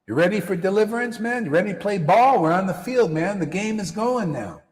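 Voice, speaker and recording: Guy giving a spiritual talk, kinda high voice, keeps saying man, big echoey hall sound. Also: fairly quick. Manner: animated, slangy, repeats phrases, calls listeners "man". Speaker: spiritual teacher. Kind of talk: casual live-stream talk.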